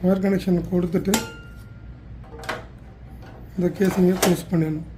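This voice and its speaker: Male demonstrator, notably high pitch, plain instructional delivery, faint background noise. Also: level tone, normal speed. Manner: plain step-by-step explaining with some English technical words. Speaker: product demonstrator for a heater supplier.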